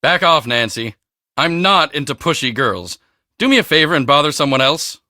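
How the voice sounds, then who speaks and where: A man playing a brash, vain high school jock in a video game. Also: sing-song, moderate pace, pushed and bright, heavily acted. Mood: dismissive. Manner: cocky, dismissive.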